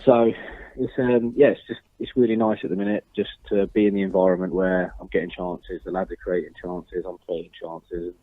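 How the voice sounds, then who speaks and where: A young man in his twenties talks over a tinny phone line. His voice sits very low and sounds dry and close. He answers questions modestly and measuredly, full of ums and restarts. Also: flat delivery, fairly quick, few pauses, no room sound. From Northern Ireland. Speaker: football striker, interview guest. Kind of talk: casual interview answers.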